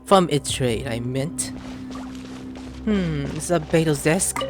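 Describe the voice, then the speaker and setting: Gameplay streamer, woman, fairly low register with lively pitch swings, casual exclamatory commentary over game music. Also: takes it slowly. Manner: reactive, exclamatory, talking to the game characters. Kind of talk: casual let's-play commentary.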